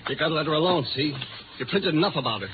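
An actor playing a brash, wisecracking nightclub comic with slangy, showy talk in 1950s radio drama style. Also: male voice, fairly high pitch, animated, racing, noisy background, natural voice. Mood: menacing. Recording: tinny old 1950s radio recording, music.

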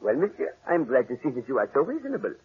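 A tinny vintage radio recording carries a shrewd French-accented detective. He speaks in a precise, courteous and theatrical way. The husky voice sits a little high and swings expressively through its lines. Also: wildly swooping pitch, quick pace, full character voice. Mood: shrewd, politely confident. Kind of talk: 1940s radio drama acting.

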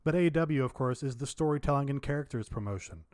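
Mid-pitched man, close dry sound. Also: expressive, fast, darkish tone, no room sound. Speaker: panelist on a wrestling review show. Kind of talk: casual chat.

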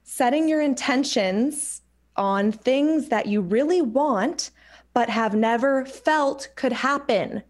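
A woman hosts a relaxed conversation and reads aloud from oracle cards. Her voice sits a little high. She slows to a measured pace for the quoted passages and speaks chattily between them. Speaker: podcast host reading oracle cards. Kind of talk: casual chat.

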